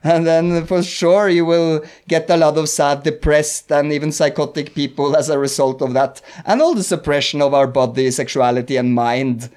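A man with a slightly high voice and a Norwegian accent hosts a casual podcast chat. He rambles, searches for his words and breaks into laughter often. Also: fairly even intonation, moderate pace, hardly any pauses, a little mellow, smooth. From Norway. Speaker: podcast host.

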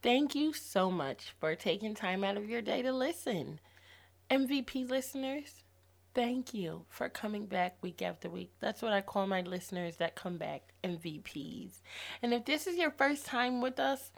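A woman in her thirties hosts a faith-based podcast, her voice hoarse and gravelly from losing it. She talks to her listeners in an upbeat, encouraging way at a middle pitch. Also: animated, normal speed. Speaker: podcast host.

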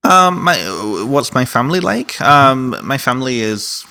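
A man in his thirties from North Wales, raised in Canada, chatting as an interview guest with fillers and lively asides. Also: medium pitch, unhurried, gravelly, dry recording. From North Wales, raised in Ontario, Canada. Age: 30s. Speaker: therapist and guest. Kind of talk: conversational interview.

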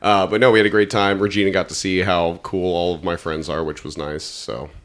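A man from Kansas co-hosting a podcast, ribbing the host with deadpan, crude jokes. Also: low voice, fairly quick. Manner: teasing, deadpan ribbing, swearing. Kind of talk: casual crude banter.

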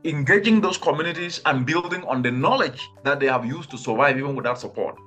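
A man from Cameroon speaks in a fairly high voice for a man, making his case with earnest rhetorical questions and sharp rises in emphasis. Faint music sits underneath the speech. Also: brisk. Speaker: guest, climate change expert. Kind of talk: podcast interview answers.

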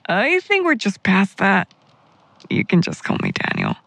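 A woman playing a tender, awkward young character in an intimate audio drama, soft-spoken and halting. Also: medium pitch, wildly swooping pitch, gravelly, dry recording, exaggerated voice. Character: tender, awkward young person called Daniel.

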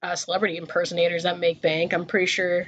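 A woman's voice: fairly low pitch, little pitch movement, fast, a bit bright, a little hiss or hum, poor audio. A young woman hosting a casual podcast chat, joking and rambling with plenty of fillers. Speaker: podcast host.

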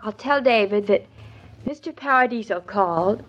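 A woman's voice: medium pitch, normal speed, lightly acted. An actress playing an eager, naive young expectant wife in 1940s radio comedy-drama style, chatty and girlish. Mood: gleeful scheming. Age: young adult. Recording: dull lo-fi vintage radio recording.

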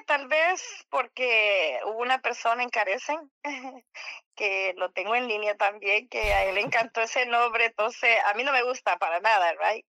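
A woman's voice: fairly high pitch, normal speed, bright, forceful tone, no room sound, low-quality recording. A middle-aged woman from El Salvador with a Salvadoran accent, chatting hesitantly as a radio interview guest. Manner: hesitant, many fillers.

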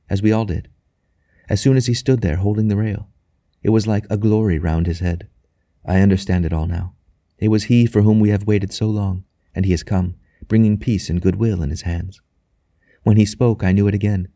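A man voicing a devout, tender young wife in an audiobook, speaking softly and reverently. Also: fairly low pitch, fairly even intonation, brisk, no echo, clean studio sound, natural voice. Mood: rapt devotion. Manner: soft, quiet, reverent. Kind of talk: audiobook character dialogue.

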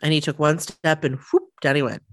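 A woman in her thirties co-hosting a podcast, telling stories in relaxed casual chat with lots of fillers, a bit sniffly from the cold. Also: low-pitched, brisk. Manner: relaxed storytelling with many fillers. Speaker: podcast co-host.

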